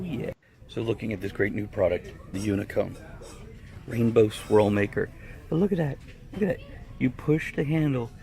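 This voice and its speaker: A gravelly-voiced man riffs casually on products with crude wisecracks. His pitch sits in the middle range, and there is clear background noise around him. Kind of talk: casual joking commentary on products. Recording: noisy background ambience.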